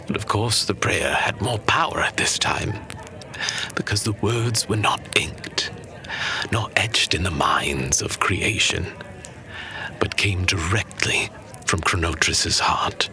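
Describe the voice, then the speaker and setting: A man playing a wise old master who tells legends to a pupil in a solemn, measured, hushed fantasy storytelling style. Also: low voice, in a whisper. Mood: solemn, reverent.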